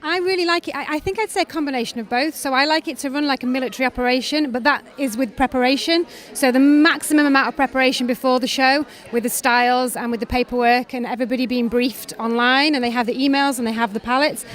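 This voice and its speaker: A woman with a Welsh accent, a creative director, answering interview questions backstage at a fashion show in a brisk, confident and articulate way. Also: high voice, fairly even intonation, a bit bright. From Wales.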